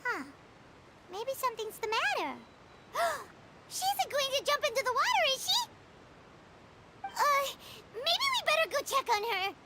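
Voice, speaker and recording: A woman's voice: very high-pitched, big pitch swings, a little slow, long pauses, pushed and bright, full character voice. A woman voicing a tiny, chatty, curious fairy companion who talks about herself in the third person, with game music underneath.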